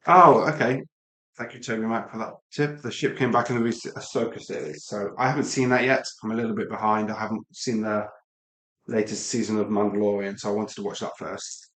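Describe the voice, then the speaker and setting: A man's voice: fairly low pitch, flat delivery, brisk, fairly bright tone, echoey room, poor audio. A middle-aged man with an English Midlands accent, chatting casually and rambling with asides to viewers. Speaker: live-stream Lego builder. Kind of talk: casual live-stream chat while building.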